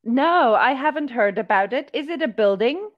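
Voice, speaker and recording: A woman leads a relaxed online lesson and speaks slowly and encouragingly. Her voice sits fairly high and moves a lot. Her voice comes through a headset over a muffled video-call line. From South Africa. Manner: patient, encouraging, asks simple questions. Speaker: online English tutor. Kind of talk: casual video-call conversation practice. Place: indoor room.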